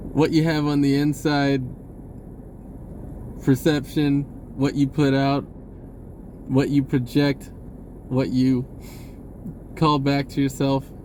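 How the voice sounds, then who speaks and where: A man giving a rambling, casual spiritual monologue in a halting, informal and blunt way, swearing at times. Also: mid-range voice, mellow, slight background noise. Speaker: vlogger speaking a personal message.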